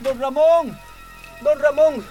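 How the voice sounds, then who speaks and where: A frantic messenger in a radio drama. His voice is extremely high for a man and full of urgency, agitated in delivery, with music and rain clearly behind it. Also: slow pace, warm tone, highs cut off. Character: Víctor, frantic male messenger bringing emergency news.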